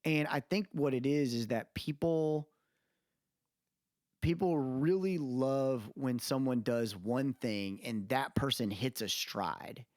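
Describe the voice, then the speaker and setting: A man's voice: medium pitch, slow, a little mellow, no echo, studio quality. A man co-hosting a podcast, talking casually and opinionatedly about music in a rambling, storytelling way. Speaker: podcast co-host.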